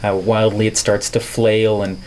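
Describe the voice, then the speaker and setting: A man's voice: on the low side, little pitch movement, measured pace, a little mellow, a little hiss or hum, low-quality recording. A middle-aged man from the Netherlands with a neutral American accent, talking reflectively and playfully as an interview guest, with wordy turns of phrase. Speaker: podcast guest, artist and filmmaker.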